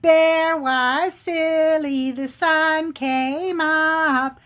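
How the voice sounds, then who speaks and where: Cheeky little squirrel, played by a woman, very high voice, drawn-out, sing-song, smart-alecky, storybook folktale. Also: steady tone, a bit bright, heavily acted. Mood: taunting, gleeful.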